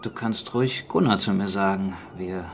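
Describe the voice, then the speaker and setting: An actor playing a gruff, no-nonsense archaeologist who leads protectively, in a naturalistic radio drama. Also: male voice, low-pitched, moderate pace, a little hiss or hum. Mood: matter-of-fact.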